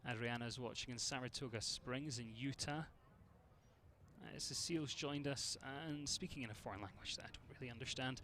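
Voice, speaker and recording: A man's voice: mid-range voice, fairly quick, pushed and bright, a little hiss or hum. A man from Scotland with a Scottish accent, giving chatty live commentary on a show jumping event and greeting viewers by name.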